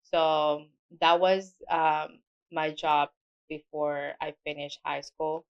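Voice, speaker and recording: A woman's voice: deep voice, flat delivery, slow, smooth, muffled recording. A middle-aged woman with a Spanish accent, a native Spanish speaker, telling her work story in a casual interview with small grammar slips. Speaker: guest, mortgage loan officer.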